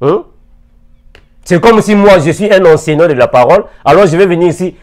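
A man's voice: high-pitched, lively intonation, fairly quick, darkish tone. A man in his forties teaching on video from an armchair indoors, firing rhetorical questions at his listeners. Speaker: preacher. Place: indoor room, seated in armchair. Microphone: lapel mic.

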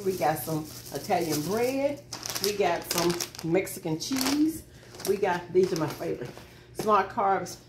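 A middle-aged woman chatting casually to her viewers as she drives and then shows her groceries, rambling from one thing to the next. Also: mid-range voice. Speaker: vlogger. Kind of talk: casual vlog chat. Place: in a car, then at a kitchen table. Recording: noisy car recording.

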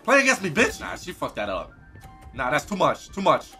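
A young man in his twenties, a streamer giving crude, loud, excitable commentary while playing a game, talking into a headset in his bedroom. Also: a little high, fairly quick, soft background music. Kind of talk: crude live gaming commentary. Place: pink-lit bedroom, indoors.

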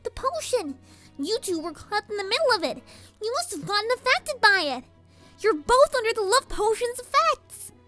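A woman voicing a bratty, scheming little filly villain in a cartoon story, squeaky and indignant. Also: sing-song, moderate pace, soft background music, exaggerated voice. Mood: frantic, puzzled. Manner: indignant, exasperated, shouting. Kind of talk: cartoon fan fiction voice acting.

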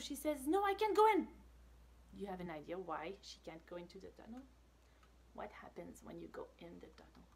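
Expressive high-voiced woman reading to children. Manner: expressive, with whispered asides and questions to listeners. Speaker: author reading her picture book to children. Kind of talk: storytime read-aloud with casual asides. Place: small indoor room with brick wall.

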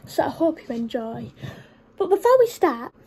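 A woman in her twenties reading a story to young children in an expressive, child-directed nursery teacher's manner. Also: sits high, normal speed. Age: 20s. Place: small furnished room at home, indoors.

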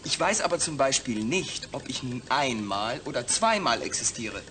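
An actor as a proud, emphatic astronaut patient. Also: male voice, mid-range voice, big pitch swings, moderate pace, a little hiss or hum, lightly acted. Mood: defiant, indignant. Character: male astronaut patient, proud, defiant, distrustful, demanding. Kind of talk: German science-fiction radio drama acting.

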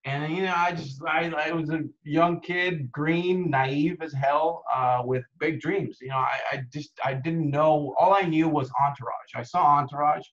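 A man's voice: fairly high pitch, fairly even intonation, unhurried, few pauses, a bit bright. Laid-back man from Costa Rica on a video call. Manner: laid-back, lots of "dude" and "man", many restarts. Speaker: actor, interview guest. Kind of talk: casual interview chat. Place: home room with window, indoors. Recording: dull lo-fi video call audio.

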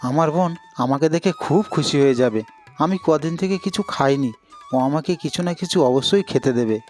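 Poor, selfless elder brother played by a man, cartoon story; higher-set male voice, earnest, humble, pleading. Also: a little fast, a little mellow, soft background music, close to an everyday voice. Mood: hopeful. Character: poor, self-sacrificing, humble elder brother, a village labourer. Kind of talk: Bengali moral-story cartoon acting.

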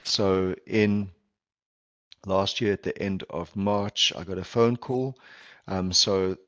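A man's voice: deep voice, steady tone, takes it slowly, fairly bright tone, no room sound. A middle-aged man with a South African accent, a Portuguese native speaker, giving an earnest conference talk over a video call with frequent ums and restarts. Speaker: palliative care physician presenter. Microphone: video call headset or laptop mic.

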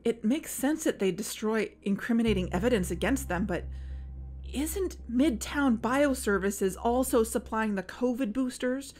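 A woman's voice: fairly high pitch, expressive, unhurried, a little mellow. A woman playing an anxious, driven female podcast investigator in an audio drama, earnest and urgent. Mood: alarmed, urgent.

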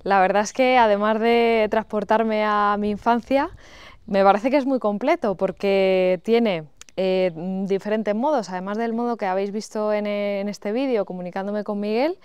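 Clear-voiced young woman. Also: mid-range voice, steady tone, moderate pace, a little mellow, no echo. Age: young adult. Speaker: video presenter. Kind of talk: casual product demonstration. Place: outdoors beside a village garden wall. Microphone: clip-on lapel mic.

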